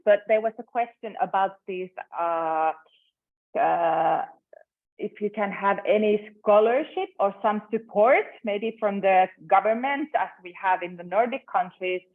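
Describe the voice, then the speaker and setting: A woman's voice: mid-range voice, measured pace. Hesitant woman moderator, video call, narrow phone-like sound. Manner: hesitant, many fillers. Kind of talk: hosting an online info session. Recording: tinny, phone-like online call audio.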